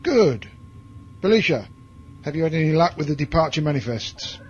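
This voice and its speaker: An actor playing a hard, bitter military commander in a science fiction audio drama, with a curt, commanding way of talking. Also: male voice, on the high side, sing-song, moderate pace. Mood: commanding, grim. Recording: dull lo-fi audio drama recording, music behind.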